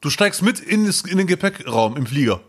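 A man co-hosting a podcast in casual chat, lively and jokey, swinging between loud outbursts and low mutters. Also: a little fast, slightly rough. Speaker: podcast co-host. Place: near Duisburg.

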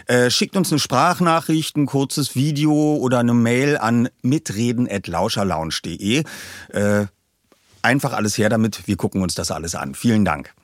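A man's voice: mid-range voice, normal speed, no room sound, studio quality. A man hosting a feature for listeners in a chatty, informal way, addressing them directly. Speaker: host. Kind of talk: casual presentation to listeners of an audio drama feature.

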